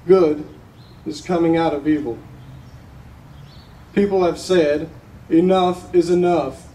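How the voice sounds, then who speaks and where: A young man playing a solemn, resolute grieving father addressing a court in a stage monologue, with heavy pauses. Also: fairly high pitch, steady tone, mellow. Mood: firm resolve. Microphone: distant camera microphone. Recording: roomy, noisy, recorded from far off.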